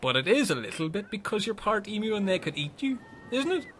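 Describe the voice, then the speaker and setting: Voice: mid-range voice, big pitch swings, fairly quick, a little hiss or hum, lightly acted. An actor playing a pedantic castaway in a comic radio play, literal-minded and questioning.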